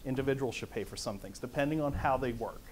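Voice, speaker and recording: A middle-aged man with a conversational, lively manner, lecturing to a conference audience in a meeting room. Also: mid-range voice, fast talker, slight background noise. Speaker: conference speaker. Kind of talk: lecture on economics.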